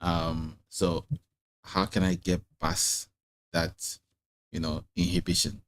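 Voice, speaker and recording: Slow, deep-voiced man. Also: flat delivery, fairly bright tone, slight background noise. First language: Igbo. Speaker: host. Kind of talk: casual interview conversation.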